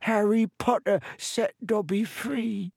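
Squeaky tiny male house-elf, shrill, halting, storybook, high and strange-sounding. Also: fairly even intonation, creaky, darkish tone, breathy edge, no room sound, studio quality, full character voice. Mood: awed. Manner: halting, awed, earnest.

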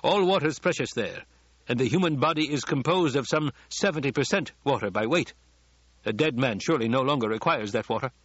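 A man voicing a calm, dangerous ecologist who lectures with precise, formal courtesy in an audiobook. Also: on the high side, fairly quick, no echo, lo-fi sound, pitched above the performer's own voice. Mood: lecturing. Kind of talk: audiobook character dialogue.

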